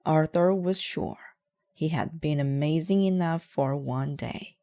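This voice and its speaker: A woman narrating a children's book aloud in a measured, clearly phrased way. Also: deep voice, dark tone. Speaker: storybook reader.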